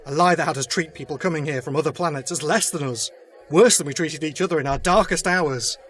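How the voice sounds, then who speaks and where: Man playing a humble soldier, raised voice pitch. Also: fairly quick, fairly bright tone, close to an everyday voice. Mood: solemn, rousing. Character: Debo, humble male soldier turned rousing leader. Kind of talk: fan audio drama acting, speechmaking.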